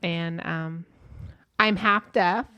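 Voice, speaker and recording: A woman's voice: lowish voice, fairly even intonation, extremely slow, pushed and bright, smooth, highs cut off. Chatty woman in her late thirties. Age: late 30s. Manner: chatty storyteller acting out quoted dialogue. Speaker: guest. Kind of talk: casual chat.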